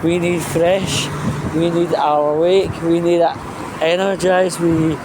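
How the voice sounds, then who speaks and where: Slow-talking, sweary man ranting over clear noise. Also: on the high side, little pitch movement. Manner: blunt, sweary, emphatic. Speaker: vlog host. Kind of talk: informal spoken rant.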